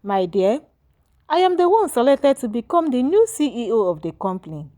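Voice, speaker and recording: Woman reading as an earnest, ambitious husband, lively intonation. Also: fairly high pitch, moderate pace. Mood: troubled. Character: troubled, ambitious husband, hesitant but self-serving. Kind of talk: storybook dialogue.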